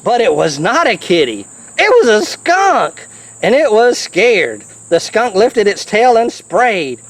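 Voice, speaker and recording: A storybook reader takes a children's tale slowly, with a very lively, varied pitch. The delivery is animated and chatty, dropping playful asides to the young listener between passages.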